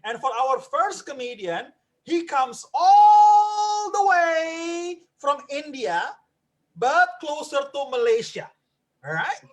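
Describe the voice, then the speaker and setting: Male comedy night host, very high voice, excitable, swooping intonation. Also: takes it slowly. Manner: excitable, animated. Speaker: host of stand-up comedy open mic. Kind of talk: live comedy show emceeing.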